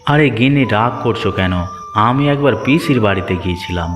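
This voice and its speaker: A male actor voices a dutiful village nephew from a cartoon moral tale. He speaks earnestly and familiarly at a medium pitch. The sound has a long reverb and music behind it. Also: lively intonation, brisk, a bit bright, lightly acted. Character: dutiful, good-natured village nephew and husband. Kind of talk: cartoon moral-story dialogue.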